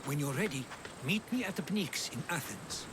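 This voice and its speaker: An actor playing an earnest, worried ally from ancient Greece in a dramatic video game cutscene. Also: male voice, on the high side, animated, moderate pace, background noise, close to an everyday voice. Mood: grave.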